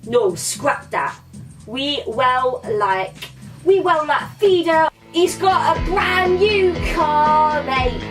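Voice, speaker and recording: A woman's voice: sits high, animated, drawn-out words, over music. A young woman doing a comic monologue in a slangy, swaggering tough-kid persona, filmed in a small bedroom from a camera a few metres away. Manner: slangy put-on tough-kid swagger, sing-song chants. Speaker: comedy vlogger. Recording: distant mic in a small room.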